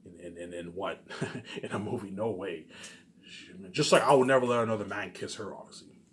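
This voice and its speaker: Fast-talking, boastful man. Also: lowish voice, a little hiss or hum. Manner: repeats himself, emphatic, asks and answers own questions; boastful, profane. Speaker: vlogger. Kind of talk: rambling casual monologue.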